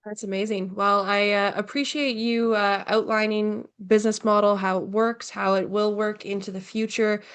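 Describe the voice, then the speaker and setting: A woman's voice: medium pitch, level tone, moderate pace, few pauses, smooth. A woman hosting a business interview, conversational and polite, with wordy lead-ins to her questions. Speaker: interviewer, host.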